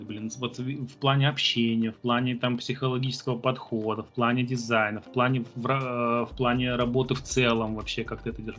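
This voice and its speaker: A man's voice: mid-range voice, fairly bright tone, lo-fi sound. A man hosting a casual podcast, enthusiastically encouraging his guest and telling stories from his own tattoo sessions. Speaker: podcast host, tattoo artist.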